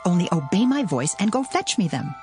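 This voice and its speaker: A woman's voice: lowish voice, big pitch swings, fairly quick, darkish tone, faint music behind. A woman playing a resolute, scheming mother in a dramatized Bible drama, giving urgent instructions in archaic scripture language. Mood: urgent, commanding.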